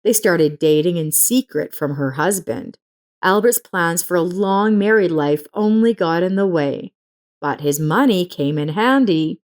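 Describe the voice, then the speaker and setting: A woman with a slightly low voice narrates a scripted story. She reads as a storyteller, in full prepared sentences.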